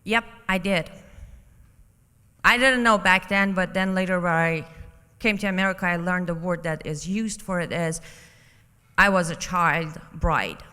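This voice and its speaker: Woman from iran, native persian speaker, giving testimony at a conference, sharp voice, long pauses, hall reverb. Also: on the low side, fairly even intonation, smooth. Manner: deliberate, emphatic, with dramatic pauses. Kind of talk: personal testimony to an audience. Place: conference hall, public address.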